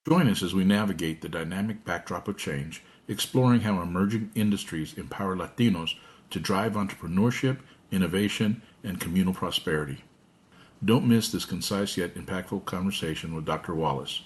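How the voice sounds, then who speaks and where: A man with a very deep voice reads a scripted episode promo. His delivery is smooth and even, as if read straight from a script. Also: brisk. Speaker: promo announcer.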